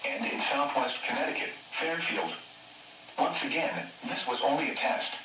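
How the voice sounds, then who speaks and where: A noisy weather radio capture, heard from a distance in a room. A synthetic man's voice at a medium pitch reads a scripted public notice. The delivery is formal, measured and impersonal. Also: big pitch swings, pushed and bright, roomy sound, highs cut off. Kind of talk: scripted public service announcement.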